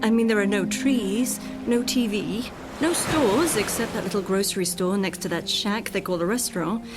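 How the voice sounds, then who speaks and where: An actress playing an exasperated, sarcastic middle-aged wife in a radio drama. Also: female voice, medium pitch, moderate pace, loud music behind the voice, lightly acted. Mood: sarcastic, scornful.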